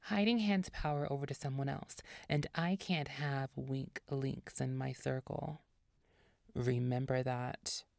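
A woman voicing an imperious vampire boss in an audio roleplay, commanding, condescending and deliberate. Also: a bass voice, steady tone, gravelly, darkish tone, no room sound, clean studio sound, exaggerated voice. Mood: imperious, menacing.

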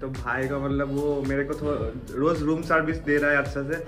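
A man's voice: mid-range voice, fairly even intonation, moderate pace. A young man chatting casually for a vlog in a hotel room, with lots of fillers. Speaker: travel vlogger. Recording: roomy echo with music over it.

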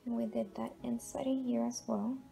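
A middle-aged woman with a high voice talks casually to the camera, showing viewers around her house. The sound is very echoey, picked up by a camera microphone some distance away in a big open hallway. Her delivery is chatty and demonstrative, in the style of a home renovation vlog. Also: little pitch movement, moderate pace, creaky, dark tone, soft background music. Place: large two-storey house hallway and stair landing. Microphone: camera mic a few metres away.

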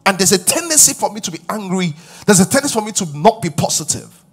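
A pastor in his forties preaching a sermon on a large church stage before a live audience, his voice rising loud on key points as he questions the crowd. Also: high voice, lively intonation, fast talker, slightly rough, faint music behind.

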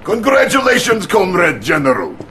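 High-pitched, jovial Russian premier. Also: male voice, huge pitch swings, moderate pace, pushed and bright, a little hiss or hum, heavily acted. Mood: jovial, proud. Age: middle-aged. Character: jovial, pompous middle-aged male Soviet premier. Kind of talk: live-action video game cutscene acting.

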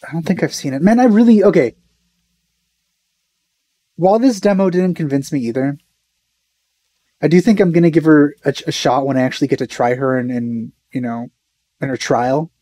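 A man's voice: a little high, moderate pace, pauses often, dark tone, dry recording. A young man giving casual, understated reaction commentary as a video host, musing and hesitant as he goes. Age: young adult.

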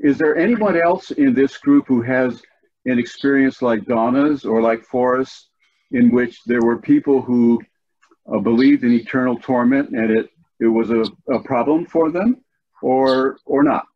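An older man moderating a church study group on a video call, speaking in a measured, thoughtful way from a home study. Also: medium pitch, phone-quality sound. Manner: measured, thoughtful talk with frequent restarts. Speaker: discussion moderator.

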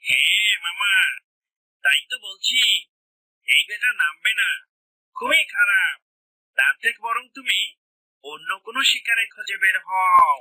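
A sly cartoon fox, acted out by a voice performer, speaking in a piercingly bright, forceful voice. It is anxious and pleading, exclaiming its words emphatically. The sound is dry and close, though a little blurred. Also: mid-range voice, lively intonation, measured pace, long pauses, no room sound, heavily acted, low-quality recording. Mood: persuasive. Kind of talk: children's cartoon voice acting.

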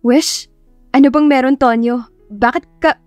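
Narrator as a feisty young woman, high and flustered. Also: fairly even intonation, a little slow, a little mellow, no room sound, faint music behind. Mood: surprised. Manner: exclaiming, indignant. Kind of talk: romantic audiobook character acting.

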